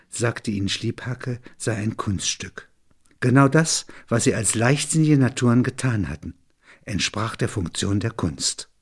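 A man narrating literary prose and reflecting on it in a measured, thoughtful manner. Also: mid-range voice, slightly rough.

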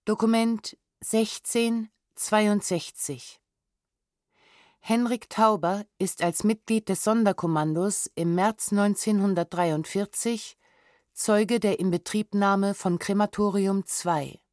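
A woman's voice: medium pitch, steady tone, slow, darkish tone, dry recording. A woman narrating a scripted documentary audiobook in a formal, factual way, close to a studio microphone. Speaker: narrator announcing and reading documents.

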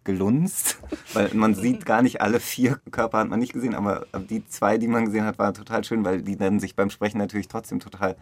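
A man's voice: on the low side, steady tone, fairly quick. A man giving casual, reflective interview answers as a theatre director, feeling for his phrasing and often correcting himself. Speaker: theatre director interviewed about a radio play.

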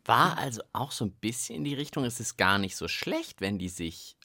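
A man's voice: mid-range voice, very animated, normal speed, pushed and bright, soft background music. A man co-hosting a children's radio show, easygoing and jokey as he chats with his partner. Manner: easygoing, jokey, tries things out aloud. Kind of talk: playful chat with a co-host.

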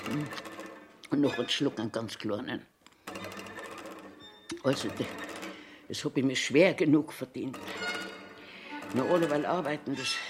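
Eccentric old woman, eighties, Bavarian dialect, grumpy washroom attendant, theatrical monologue, slow delivery, very lively intonation. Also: fairly low pitch. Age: 80s. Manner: grumbling self-talk, swinging between scolding and muttering. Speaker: actor.

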